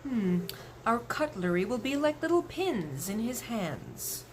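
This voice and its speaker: A woman voicing a dignified, fussy male butler with a measured, formal, commanding manner, in a storybook reading. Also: on the high side, big pitch swings, a little hiss or hum. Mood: pondering.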